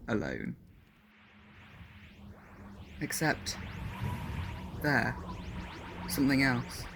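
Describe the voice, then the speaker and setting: A man narrating a dramatic science-fiction story, measured and building to loud, intense passages. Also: medium pitch.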